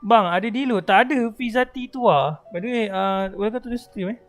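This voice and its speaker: A man's voice: high-pitched, brisk. A man with a Malaysian accent chatting casually as a game streamer, joking and teasing, mixing in English. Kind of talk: casual livestream chat and commentary. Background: game music.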